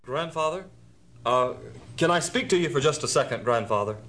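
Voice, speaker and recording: A man's voice: mid-range voice, expressive, moderate pace, loud music behind the voice, natural voice. A man playing a harried, sarcastic theme park manager in an audio drama, talking with exasperated emphasis. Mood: pleading frustration.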